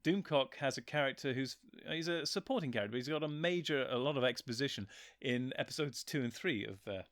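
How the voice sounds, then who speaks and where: A man's voice: mid-range voice, expressive, fast, fairly bright tone, no echo, clean studio sound. A man chatting casually as a guest, talking enthusiastically and wandering off on tangents. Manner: enthusiastic, rambling and digressive. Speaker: guest, writer and voice actor. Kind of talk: casual chat.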